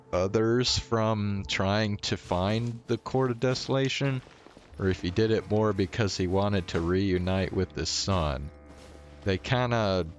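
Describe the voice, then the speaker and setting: A young man giving casual live game commentary, halting and slangy as he thinks aloud. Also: deep voice. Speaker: game streamer. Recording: faint music behind.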